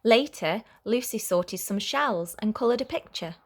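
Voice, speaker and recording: A woman reading a children's story aloud as a teacher would, in a clear, expressive way for young listeners. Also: medium pitch, unhurried.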